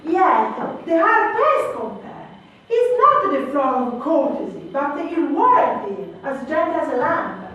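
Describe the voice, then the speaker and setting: A woman's voice: high-pitched, sing-song, fairly bright tone, heavily acted. A woman playing a weary, grumbling old nurse. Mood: evasive. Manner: drawn-out, grumbling, evasive. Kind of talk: amateur stage performance of a classic play. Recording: echoey, distant, noisy room.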